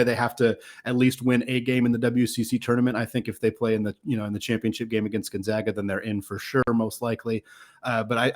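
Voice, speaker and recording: Man hosting a casual sports podcast. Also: mid-range voice. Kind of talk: casual sports talk and analysis.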